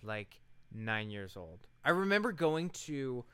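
A man's voice: medium pitch, very animated, slow, a bit bright, clear, resonant tone, no room sound. A man co-hosting a podcast, chatting casually and ranting in an emphatic, profane, argumentative way. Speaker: podcast co-host. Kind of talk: casual chat, opinionated ranting.

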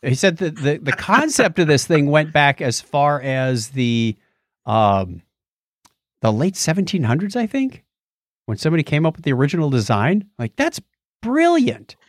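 A middle-aged man co-hosting a podcast in casual conversation, often pausing to think aloud. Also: fairly high pitch, big pitch swings, no room sound, studio quality. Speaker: podcast co-host. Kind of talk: casual chat about old gadgets.

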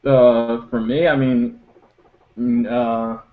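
A young man in his twenties co-hosting a podcast, talking slowly and hesitantly with lots of fillers. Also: medium pitch, level tone, roomy sound, phone-quality sound. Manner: hesitant, filler-heavy, unhurried. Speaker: co-host. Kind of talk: casual podcast chat.

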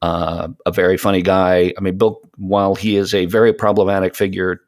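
A man talking reflectively as a podcast co-host, hesitant, often stammering on the starts of words and pausing mid-thought. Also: deep voice, level tone. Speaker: podcast co-host, audio content creator. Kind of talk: reflective casual podcast conversation.

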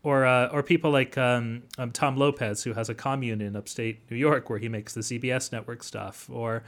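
Halting, thoughtful man being interviewed. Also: medium pitch, fairly even intonation. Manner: halting, thoughtful, wordy explaining. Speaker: guest, academic author. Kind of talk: interview conversation.

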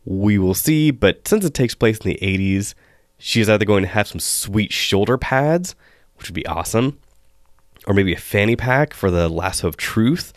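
A man hosting a solo podcast, hoarse and losing his voice, chatting with wry asides. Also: fairly low pitch, lively intonation, moderate pace, no echo, studio quality. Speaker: podcast host.

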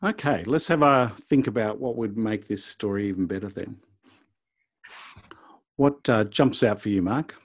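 A man's voice: lowish voice, normal speed, pauses often, no echo, clean studio sound. A man co-hosting a podcast, thinking aloud in a casual, conversational discussion about storytelling. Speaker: podcast co-host.

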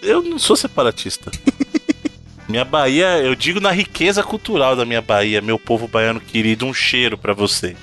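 Animated, bright-voiced male podcast host. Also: medium pitch, normal speed, faint music behind. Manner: playful, mock-formal teasing. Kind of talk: casual podcast banter.

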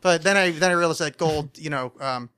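A man from New Jersey hosting a podcast, bantering crudely and mocking himself, with lots of quick restarts. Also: fairly high pitch, pushed and bright, clear, resonant tone, no echo, clean studio sound. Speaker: podcast host.

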